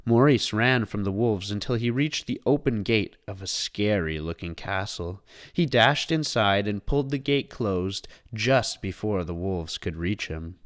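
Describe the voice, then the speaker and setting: A man reading a children's storybook aloud as scripted, expressive narration. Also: fairly low pitch.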